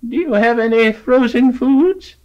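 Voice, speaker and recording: A man puts on a shrill, cranky old woman who barely hears a word said to her. She speaks high above the narrator's own voice, suspicious and sharp. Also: unhurried, dull audio. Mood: puzzled. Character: cranky hard-of-hearing old lady customer.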